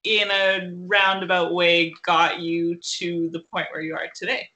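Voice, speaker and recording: A woman's voice: on the low side, level tone, a little slow, bright, forceful tone. A woman conducting a casual, conversational interview as the interviewer.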